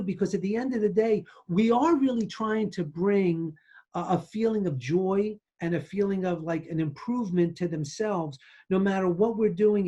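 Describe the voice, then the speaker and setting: A middle-aged man hosting an interview over a video call, asking questions in a hesitant, halting way. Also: high-pitched, warm tone, slightly rough, muffled recording. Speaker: podcast host, surgeon.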